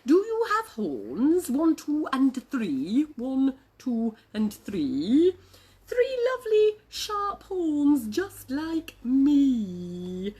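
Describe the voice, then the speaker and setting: Woman as a high, sing-song, kindly Triceratops. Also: huge pitch swings, a little mellow, heavily acted. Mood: curious, tender. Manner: drawn-out, sing-song rhyming questions. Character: curious, kindly Triceratops in a storybook.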